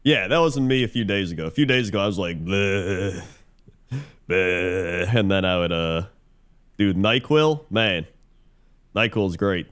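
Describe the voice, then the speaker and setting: A man's voice: sits low, measured pace, a bit bright, clean tone. A man co-hosting a podcast, congested from a cold, telling a rambling story in casual chat with plenty of fillers. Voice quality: Muppet-like voice. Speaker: podcast co-host.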